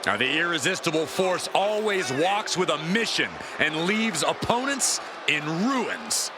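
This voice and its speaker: A man's voice: a little high, big pitch swings, unhurried, noisy background. A man doing wrestling color commentary in a video game, with emphatic, dramatic phrasing. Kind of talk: sports commentary.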